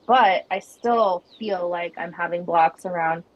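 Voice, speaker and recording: A woman's voice: on the low side, a little slow, muffled recording, lightly acted. A woman playing an earnest, inquisitive camper, talking reflectively and conversationally in an audio drama. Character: earnest, inquisitive female camper with creative blocks.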